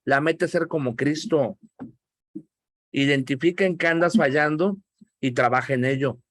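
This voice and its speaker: A middle-aged Mexican pastor teaching a Bible class over a video call, emphatic and teasing as he scolds his listeners. His voice sits a bit high for a man. The sound is dry and close, with no room around it. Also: moderate pace, a bit bright, no echo. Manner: joking, emphatic, scolding in a friendly way.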